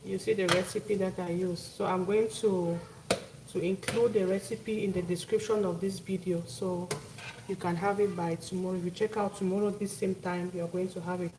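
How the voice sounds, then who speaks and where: A woman's voice: on the low side, steady tone, moderate pace, recorded from a distance. Cameroonian woman on a noisy live stream. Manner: repeats phrases, thanks and greets viewers by name. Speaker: cooking live-stream host. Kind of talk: casual live-stream chat with viewers.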